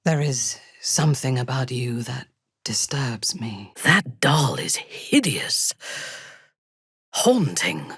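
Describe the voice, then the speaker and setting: An imperious dark elf commander from a fantasy game. Her voice is low and husky, close and dry, and she speaks deliberately with haughty menace, her cold lines swinging widely in pitch. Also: very animated, dry recording, heavily acted. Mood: contemptuous. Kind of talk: dramatic video game acting.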